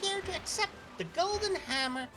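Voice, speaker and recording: A woman acting as a brisk cartoon princess hosting an award show in a story read-aloud, with music behind her. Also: very high-pitched, lively intonation, background noise. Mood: announcing.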